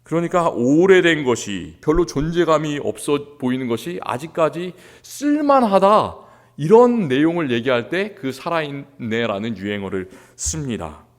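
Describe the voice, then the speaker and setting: A male pastor from Seoul delivers a sermon in a church sanctuary. His pitch moves in wide, lively swings, climbing into emphatic stretches before falling back to quiet, earnest lines. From Seoul, South Korea.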